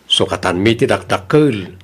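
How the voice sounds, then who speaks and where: A man playing a patient, considerate husband and dutiful son in an audio drama, calm and reassuring. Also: on the low side, unhurried, husky. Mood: apologetic.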